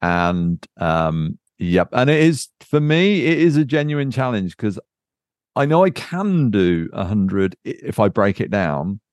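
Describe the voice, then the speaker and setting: A man's voice: on the low side, expressive, measured pace, dry recording. A man co-hosting a podcast in casual, unscripted chat. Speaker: podcast co-host.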